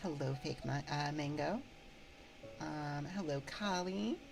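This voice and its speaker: A woman hosting an ASMR livestream, chatting casually and haltingly as she replies to viewers on a binaural microphone. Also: low voice, gravelly, music in the background. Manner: halting, reading and replying to chat. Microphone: binaural 3Dio microphone.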